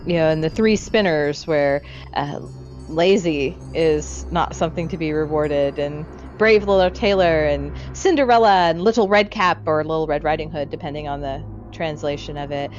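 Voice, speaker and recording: A woman's voice: deep voice, moderate pace, a little mellow. A woman co-hosting a casual discussion, thinking aloud in loose, trailing lists. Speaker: podcast host. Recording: dull lo-fi audio, music behind.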